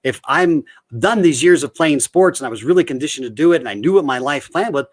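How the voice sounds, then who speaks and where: A middle-aged man from the United States giving an energetic motivational talk over a video call, full of rhetorical questions. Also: a little high, quick pace. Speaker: guest speaker.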